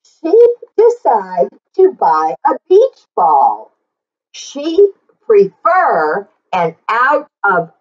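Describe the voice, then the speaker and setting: A middle-aged woman reading aloud to young children in an animated, sing-song way, with encouraging asides. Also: on the high side, highs cut off. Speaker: children's story reader and teacher. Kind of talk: read-aloud for young children, repeating lines slowly. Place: small plain indoor room.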